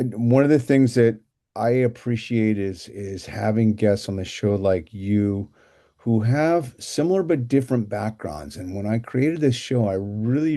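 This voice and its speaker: A middle-aged man with a lowish voice hosts a relaxed talk show. His tone is mellow and dark rather than bright. He wanders through his sentences with fillers and restarts. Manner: rambling, repeats words, many fillers. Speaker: podcast host. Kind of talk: casual interview chat.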